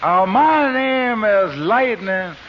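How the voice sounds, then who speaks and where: An actor playing a slow, dim-witted errand runner with a hesitant drawl full of ums, in 1940s radio comedy. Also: male voice, high-pitched, animated, a little hiss or hum. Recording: lo-fi old radio broadcast.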